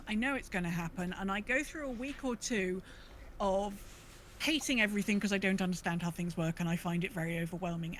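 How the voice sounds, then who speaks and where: Woman, medium pitch, podcast co-host, casual discussion from notes, noticeable background noise. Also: fairly bright tone.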